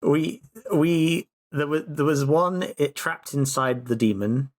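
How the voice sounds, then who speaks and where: A man's voice: a little high, slow. A man chatting casually as a player on a tabletop role-playing podcast, with playful, theatrical phrasing. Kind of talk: casual chat and recapping.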